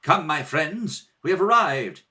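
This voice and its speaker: A man's voice: fairly high pitch, lively intonation, measured pace, sharp tone, full character voice. A man acting a brave, noble rebel prince in a radio serial, speaking earnestly and formally. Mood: hushed, resolute.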